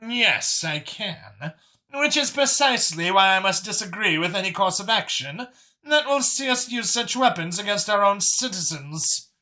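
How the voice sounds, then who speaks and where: Guy doing a commanding alien chairwoman for a sci-fi audiobook, really bright, swings up and down, formal and measured. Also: high voice, expressive, pitched above the performer's own voice, heavily acted. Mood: stern. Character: composed, commanding female alien council chair.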